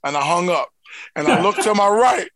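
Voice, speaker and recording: A man from Philadelphia with a Philly accent, co-hosting a casual podcast and telling stories with big, loud laughs. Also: high voice, moderate pace, dry recording, studio quality. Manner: animated storyteller, laughs loudly at his own stories. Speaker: co-host.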